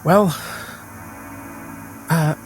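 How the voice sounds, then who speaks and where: A man in casual in-character tabletop role-play, with hesitant, halting phrasing. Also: fairly high pitch, fairly even intonation, soft background music. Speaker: role-play game player.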